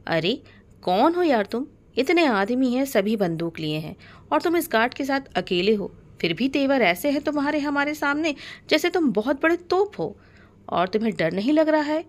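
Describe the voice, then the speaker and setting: A woman's voice: high voice, very animated, normal speed, pitched above the performer's own voice. Woman reading as a blustering armed heavy. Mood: challenging. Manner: challenging, scornful. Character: blustering armed man, sizing up his opponent.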